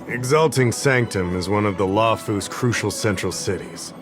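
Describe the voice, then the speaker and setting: An actor playing an affable, easygoing investigative official in a video game, conversational and explanatory. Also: male voice, on the low side, normal speed, music in the background, lightly acted. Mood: explaining. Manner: conversational, slightly absent-minded, explanatory. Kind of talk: video game character acting.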